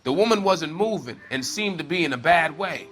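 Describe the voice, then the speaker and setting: An actor playing a respectful rail worker giving a plain, polite witness report in a video game. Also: male voice, fairly high pitch, lively intonation, normal speed, fairly bright tone. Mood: earnest. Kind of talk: video game dramatic acting.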